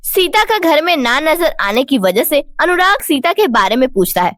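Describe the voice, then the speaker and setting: A woman's voice: sits high, very animated, moderate pace, fairly bright tone. A woman reading scripted narration for a moral story as its narrator.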